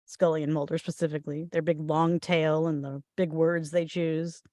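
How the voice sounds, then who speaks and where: A woman's voice: deep voice, flat delivery, moderate pace, a little mellow, no echo, clean studio sound. A woman chatting in a casual group discussion, conversational and ready with her opinions.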